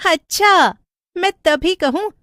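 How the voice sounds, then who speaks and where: Woman acting a young woman in a tale, high voice, sweet, playful, teasing. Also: lively intonation, slow pace, bright, forceful tone, no room sound, clean studio sound, exaggerated voice. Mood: admiring, playful.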